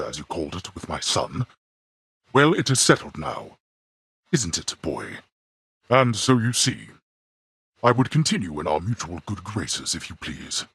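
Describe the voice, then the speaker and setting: Casting: imperious underworld god-father for a game; very husky, rough voice, grand swings in pitch, curt and formal. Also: a bit bright, dry recording, studio quality, exaggerated voice. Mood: formal, measured. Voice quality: gravelly, husky bass. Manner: haughty, curt, commanding, weighty formal diction. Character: stern, imperious god-king of the underworld, gruff old father. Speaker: ruler of the underworld. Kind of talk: formal, archaic video game character acting.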